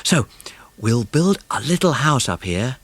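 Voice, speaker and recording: Man voicing an eager, high-pitched young boy. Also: very animated, measured pace, fairly bright tone, husky, dry recording, full character voice. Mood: bossy. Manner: colloquial, exclaiming, eager. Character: Ern, excitable working-class boy, eager and bossy. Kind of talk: dramatised children's audiobook acting.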